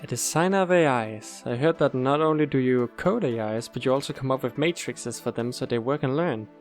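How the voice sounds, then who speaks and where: A woman's voice: very deep voice, brisk, faint music behind. A woman playing a polite, encouraging school interviewer in an audio drama, formal yet reassuring. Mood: kindly, encouraging. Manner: formal but reassuring, expressive.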